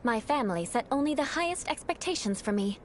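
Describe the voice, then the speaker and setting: A woman's voice: fairly high pitch, lively intonation, fast talker, a little hiss or hum, lightly acted. A young woman voicing a haughty, refined aristocratic knight in an anime-style game, with a formal, instructive manner. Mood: cool, composed.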